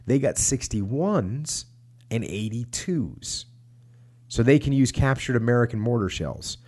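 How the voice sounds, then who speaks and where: A man's voice: mid-range voice, expressive, unhurried, a little mellow. A man reading the lines of a knowledgeable enlisted Marine from a war memoir, plain and explanatory. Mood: instructive.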